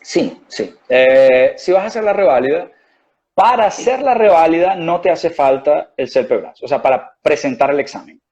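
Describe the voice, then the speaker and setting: A man's voice: fairly high pitch, animated, moderate pace, darkish tone, muffled recording. A man with a Venezuelan accent, a guest doctor explaining things in a rambling way with many restarts and fillers. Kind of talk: conversational interview.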